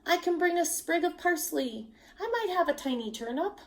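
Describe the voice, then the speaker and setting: A woman's voice: very high-pitched, expressive, normal speed, pitched above the performer's own voice. A woman voicing eager villagers from a children's storybook, offering things in a lilting singsong. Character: various eager villagers offering small bits of food. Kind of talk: children's storybook read-aloud.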